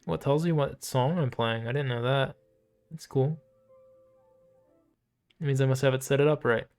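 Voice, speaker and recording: A man's voice: medium pitch, normal speed, a little mellow, smooth. A man livestreaming in a casual chat style, rambling and thinking aloud as he hosts. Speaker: livestreamer, VTuber host. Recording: music behind the voice. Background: background music, guitar.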